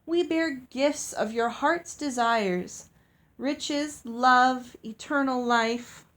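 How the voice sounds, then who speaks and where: A woman's voice: high-pitched, fairly bright tone, heavily acted. A woman voicing a sweet, honey-voiced ogre who lures prey with coaxing promises in a storybook. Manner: slow, coaxing, enticing. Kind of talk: storybook character voice.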